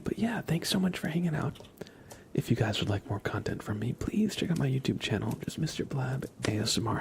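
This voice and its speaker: A man hosting an ASMR livestream, chatting casually in a soft whisper. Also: medium pitch, creaky. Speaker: livestream host.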